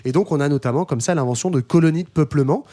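A man's voice: fairly high pitch, mellow. A man co-hosting a casual discussion podcast, explaining at length with frequent fillers and restarts. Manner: quick, with many fillers and restarts.

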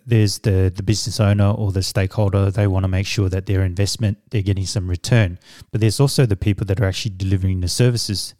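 Casting: middle-aged samoan-born man, native samoan speaker, low mellow voice, dry close sound, measured and reflective. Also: no room sound. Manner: measured, reflective, with fillers and restarts. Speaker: guest, business coach. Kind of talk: conversational interview answers.

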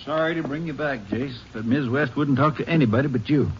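An actor in an old-time radio drama playing a kindly, plain-spoken small-town sheriff. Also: male voice, mid-range voice, brisk, lightly acted. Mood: kindly, placating. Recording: muffled vintage radio recording, faint hiss.

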